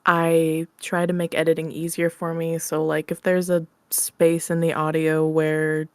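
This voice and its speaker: Lowish-pitched girl chatting on a podcast, informal and giggly, dry close sound. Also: monotone, a little slow, a little mellow, no echo. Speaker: interview guest, audio roleplay creator. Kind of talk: casual chat.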